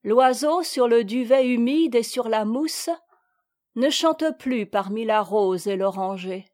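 Woman, poetry recitation, fairly high voice, very unhurried pace, dry close sound. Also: a little mellow, no echo. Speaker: volunteer poetry reader.

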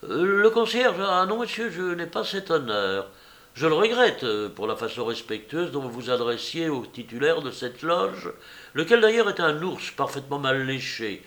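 A man's voice: mid-range voice, expressive, moderate pace, a bit bright. A man voicing a cheeky, mischievous joker in an audiobook, with flowery speech and a teasing, mock-pompous manner. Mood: mock-courteous. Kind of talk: audiobook character voice.

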